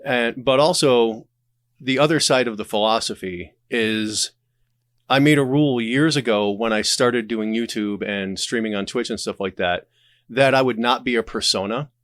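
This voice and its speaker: A man's voice: medium pitch, moderate pace, fairly bright tone, no room sound, clean studio sound. A man speaking as a podcast guest in a casual, candid conversational style. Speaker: podcast guest, audio drama creator and streamer.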